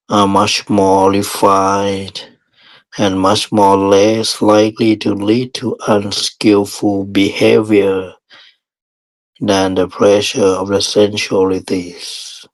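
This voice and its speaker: A man teaching guided meditation in short, measured phrases with long pauses between them. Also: fairly low pitch, completely flat delivery, low-quality recording.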